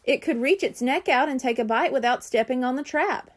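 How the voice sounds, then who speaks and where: Woman reading as an eager, high-pitched little boy. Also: a little fast. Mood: earnest. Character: eager, skeptical little boy in a children's storybook.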